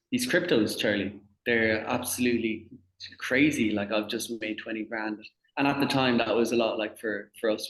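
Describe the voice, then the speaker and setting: Chatty young Irish man in an echoey room. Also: fairly low pitch. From Ireland. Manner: many restarts and repeated words, chatty. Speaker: guest. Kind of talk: casual interview.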